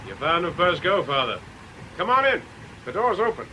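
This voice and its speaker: An actor playing a chatty, affable but wary club owner in a radio drama, with a teasing, colloquial manner. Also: male voice, fairly high pitch, sing-song, moderate pace, background noise. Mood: genial, teasing. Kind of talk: British radio drama acting.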